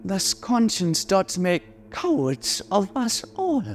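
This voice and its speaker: An actor playing a melancholy, brooding prince in a theatrical Shakespearean monologue, speaking deliberately with dramatic pauses. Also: male voice, high-pitched, very animated, a little hiss or hum, exaggerated voice. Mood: troubled, resigned.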